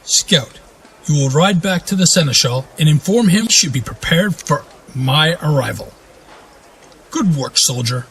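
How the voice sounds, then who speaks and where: An actor playing a proud, weary duke and war leader in a fantasy audio drama, speaking in a commanding, regal way. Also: male voice, a little high, lively intonation, unhurried, a bit bright, breathy edge, full character voice, rough recording. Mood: proud, resolute.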